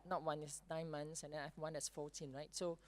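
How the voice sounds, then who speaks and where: A woman's voice: low-pitched, level tone, brisk. A woman in her forties from Singapore with a Singaporean English accent, speaking on a conference panel with a handheld mic and tagging "right?" onto her points. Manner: opinionated, frequent "right?" tags. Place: large conference stage. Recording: long hall reverb.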